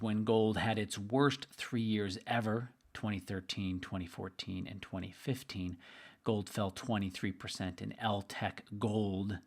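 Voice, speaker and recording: A middle-aged man with a slightly hoarse, tired voice, hosting a live webinar in an upbeat, chatty way and talking straight to his listeners. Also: fairly low pitch. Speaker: investment trainer hosting a webinar.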